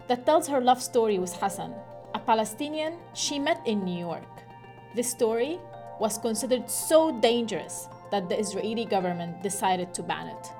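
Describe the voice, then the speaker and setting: Woman host, measured and gentle, mid-pitched, soft music underneath. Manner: measured, scripted intro then gentle questions. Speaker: podcast host. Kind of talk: podcast interview.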